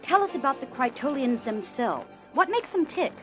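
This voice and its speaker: An actress playing an eager, impatient questioner at a government briefing in an audio adventure. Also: female voice, high voice, big pitch swings, moderate pace. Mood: eager, curious. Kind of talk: audio adventure record acting. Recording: vintage LP with music behind.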